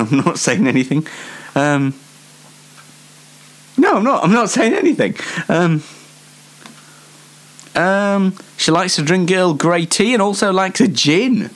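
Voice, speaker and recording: A British man hosting an unscripted comic news show in a rambling, self-mocking way. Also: high voice. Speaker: host of a comedy news show.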